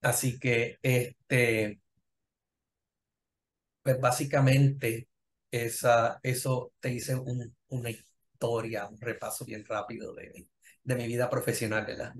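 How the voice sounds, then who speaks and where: A man's voice: medium pitch, flat delivery, slow, a little hiss or hum. A middle-aged man with a Puerto Rican accent, a professor speaking conversationally as an interview guest about his own working life. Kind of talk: conversational personal career account.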